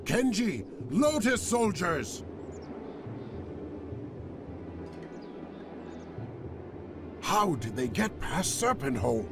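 An actor playing a gruff, battle-eager warrior lieutenant in a video game cutscene, blunt and wary. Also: male voice, high voice, big pitch swings, unhurried, heavily acted. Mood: urgent. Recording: music behind the voice.

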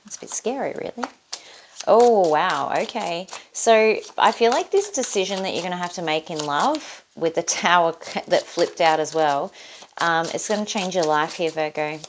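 A woman's voice: deep voice, normal speed, a little hiss or hum. Chatty Australian woman reading tarot cards. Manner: chatty, thinking aloud while drawing cards. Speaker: tarot reader. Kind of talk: casual tarot reading to camera. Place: indoors at a table, overhead camera on her hands.

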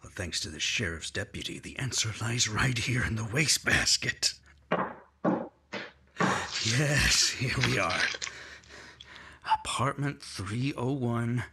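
A man playing a cold, scheming killer in an old-time radio mystery, speaking with slow, low menace. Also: lively intonation, noisy background. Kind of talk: 1950s-style radio drama acting.

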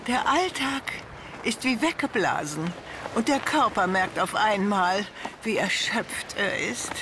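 A woman's voice: medium pitch, animated, slow pace, background noise. An actress playing a mature, seductive Italian noblewoman in a radio play, haughty, sly and condescendingly sweet, drawling theatrically. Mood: gracious, amused. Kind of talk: German radio play acting. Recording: studio radio play with music underneath.

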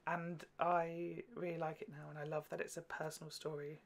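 A man's voice: on the high side, little pitch movement, brisk, gravelly. A young British man chatting casually and enthusiastically as co-host of a reaction video. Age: young adult. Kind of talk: casual chat. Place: small indoor room at home. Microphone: microphone on the desk.

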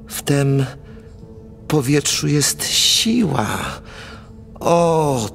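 An actor playing a morbid, romantic Polish count of fifty in a radio play of dramatic verse, brooding and theatrical. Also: male voice, mid-range voice, vocal fry.

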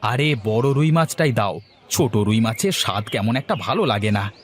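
A man's voice: on the low side, animated, moderate pace, natural voice. A man playing an exasperated, hungry village farmer husband who complains dramatically in a comedy cartoon. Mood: eager, bossy. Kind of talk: Bengali comedy cartoon dialogue.

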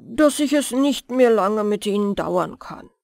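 A woman's voice: mid-range voice, lively intonation, measured pace, vocal fry, darkish tone, no room sound, clean studio sound. A woman voicing a plain-spoken, pitying male miller in a storybook, emphatic and plaintive.

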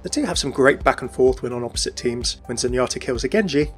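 A man's voice: medium pitch, lively intonation, fast, a bit bright. A man presenting scripted narration as a video host, in an explanatory style. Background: background music.

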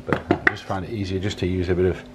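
A man in his sixties giving a casual, unhurried cooking demonstration in a home kitchen, often repeating his phrases as he goes. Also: low-pitched, flat delivery. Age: 60s. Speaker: home cook, presenter. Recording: clattering dishes, clicks, kitchen noise.

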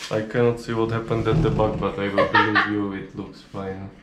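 A man's voice: on the low side, flat delivery, fairly quick, heavy background noise. Dry, mildly teasing young man. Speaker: vlogger. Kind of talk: casual home vlog. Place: small living room, indoors.

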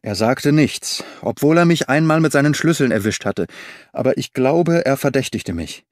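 A man reading a detective story aloud as an audiobook, giving each quoted character a slightly different tone in a measured storytelling manner. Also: mid-range voice, expressive, no echo, clean studio sound. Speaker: audiobook narrator. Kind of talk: scripted audiobook narration with voiced dialogue.

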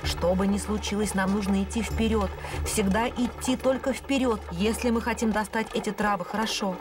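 A woman voicing a kindly, steadfast adult fairy godmother in a cartoon dub, calm, reassuring and instructive. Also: medium pitch, normal speed, close to an everyday voice. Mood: calm, firm. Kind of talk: cartoon dubbing.

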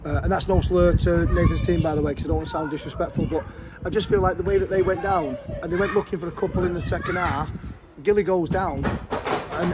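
A middle-aged football manager talks frankly and at length after a match. His voice sits a little high. He is recorded outdoors beside the pitch, with clear wind and traffic noise behind him. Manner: frank, chatty, fast-flowing. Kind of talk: post-match interview.

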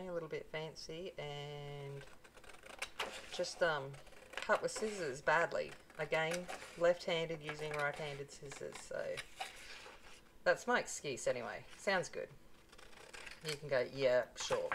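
A woman's voice: sits low, background noise. A middle-aged woman from North Queensland with a broad Australian accent, chatting casually and self-deprecatingly while crafting at her desk. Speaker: papercraft channel host. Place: home craft desk, indoors. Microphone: tabletop mic, overhead camera on hands.

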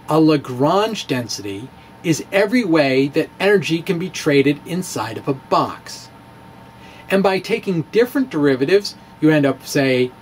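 A middle-aged man giving a dramatic reading of a science blog to camera, theatrical and emphatic, with halting phrasing and stretched words. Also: mid-range voice, slight background noise. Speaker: amateur physicist and video blogger. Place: small indoor room with bookshelves. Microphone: handheld-style microphone on a stand, close in front.

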